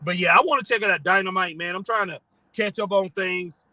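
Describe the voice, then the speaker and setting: A man's voice: high voice, a little fast, pushed and bright, no room sound. A man hosting a casual live stream from his car, rambling and chatting with viewers as he reads their comments.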